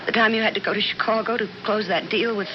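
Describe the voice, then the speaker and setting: A woman's voice: medium pitch, fairly even intonation, fast, natural voice. An American woman acting a frightened but stubborn, suspicious wife in a 1970s radio drama. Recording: muffled, noisy 1970s radio drama recording.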